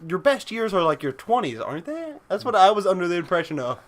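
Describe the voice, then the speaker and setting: A young man co-hosting a let's play, chatting casually and haltingly reading game text. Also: high-pitched, very animated. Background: background music.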